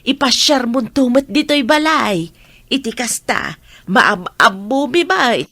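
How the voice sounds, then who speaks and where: A woman with an Ilocano accent playing a lively, teasing woman in a radio drama. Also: fairly high pitch, slow pace, no echo, exaggerated voice.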